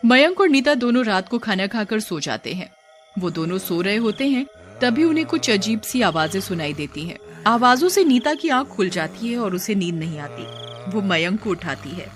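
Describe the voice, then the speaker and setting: A woman's voice: medium pitch, sing-song, normal speed, faint music behind. A woman storyteller reading scripted narration for a cartoon horror story.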